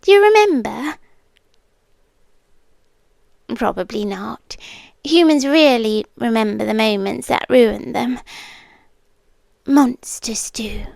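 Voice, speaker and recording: A woman playing an ancient, possessive female monster in an ASMR roleplay, with a British accent and a hushed, teasing delivery that often drops into whispers. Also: a little high, animated, slow pace, creaky, no room sound, clean studio sound, full character voice. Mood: wistful, confiding. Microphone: close microphone.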